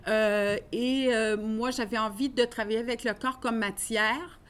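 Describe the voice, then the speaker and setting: Woman lecturing, slightly high voice. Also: steady tone. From Canada. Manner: thoughtful, measured, with frequent fillers. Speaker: dancer and artist giving a lecture. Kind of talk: lecture talk.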